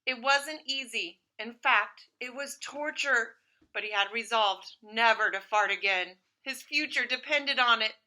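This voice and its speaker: Bright, high-pitched woman reading a story. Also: unhurried, rough recording. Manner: expressive, dramatic storytelling. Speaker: teacher reading aloud to pupils. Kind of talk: animated storybook read-aloud.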